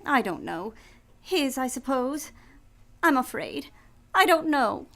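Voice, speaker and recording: An actress playing a manipulative femme fatale in trouble in an old-time radio melodrama, with a theatrical, pleading delivery. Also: female voice, high voice, sing-song, slow, creaky, fairly bright tone, full character voice. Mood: anxious, evasive.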